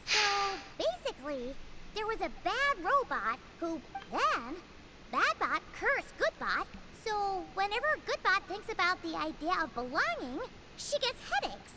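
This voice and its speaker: A tiny video game fairy with a squeaky, childlike voice pitched extremely high. It bounces around animatedly and chirpily, over loud game sound. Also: female voice, very animated, unhurried, heavy background noise, full character voice. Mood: chirpy, explaining. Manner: chirpy, simple explanatory phrasing. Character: Paimon, tiny excitable childlike floating fairy companion. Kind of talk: video game character acting.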